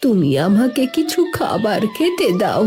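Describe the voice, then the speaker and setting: Woman as elderly cartoon beggar woman, humble, pleading, lively intonation, music behind. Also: fairly high pitch, measured pace. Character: hungry, penniless old woman, humbly begging for food. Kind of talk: cartoon voice acting.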